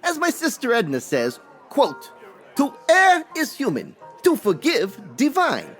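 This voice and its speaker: A man's voice: extremely high pitch, huge pitch swings, a little slow, fairly bright tone, faint music behind, full character voice. A man playing a genial, folksy bartender in an old-time radio style comedy drama, cheery and corny. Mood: genial, teasing.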